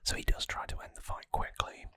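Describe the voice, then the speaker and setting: Casting: ASMR host for trivia recaps, fully whispered, crisp and bright, hesitant phrasing, close on the mic. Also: no echo. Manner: unhurried whispering with hesitant pauses between short phrases. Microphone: close microphone.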